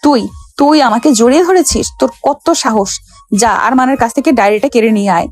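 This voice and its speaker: High-voiced, haughty young heiress played by a woman. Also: fairly quick, darkish tone, natural voice. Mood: furious, haughty. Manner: imperious, scolding, indignant. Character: haughty, hot-tempered rich young woman, a bossy heiress. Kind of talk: audio love story dramatic acting.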